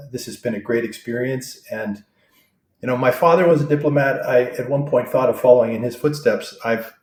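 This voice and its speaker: A man in his sixties answering interview questions in a thoughtful, halting way, with many fillers and restarts. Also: medium pitch, a little mellow. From born in Vietnam, raised abroad. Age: 60s. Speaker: guest. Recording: roomy echo.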